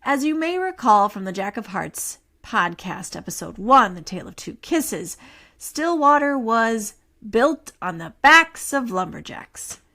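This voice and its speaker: A woman podcast host telling a scripted ghost story in a theatrical, measured way with dramatic pauses. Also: fairly high pitch, very animated, bright, forceful tone. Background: music.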